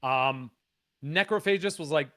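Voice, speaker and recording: Raspy-voiced man from Canada, animated. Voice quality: raspy, low voice. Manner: animated, swears freely, quick excited bursts. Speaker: guest musician. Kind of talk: casual podcast chat.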